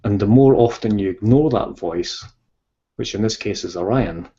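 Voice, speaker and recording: Low-voiced Scottish man on a dull call line. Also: moderate pace, dark tone. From Scotland. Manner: rambling, hesitant, with many ums and restarts. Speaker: author guest. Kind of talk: casual interview chat.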